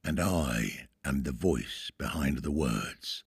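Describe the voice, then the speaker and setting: Very deep, slow-spoken male narrator. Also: lively intonation, vocal fry, breathy edge, dry recording, studio quality. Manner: measured, formal, theatrical. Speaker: podcast host and voiceover artist. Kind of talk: scripted poetic narration. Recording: solo flute music behind.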